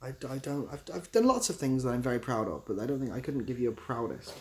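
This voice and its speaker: A man's voice: medium pitch, animated, fast talker. A British-accented man chatting casually as a livestream host, answering viewers in a dry, easygoing, self-deprecating way. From Britain.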